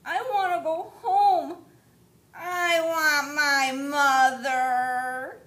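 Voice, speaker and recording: A woman voicing a small baby bird searching for his mother, high and pleading. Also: sing-song, takes it slowly, a bit bright, heavily acted. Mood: frightened. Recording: echoey room, faint rumble.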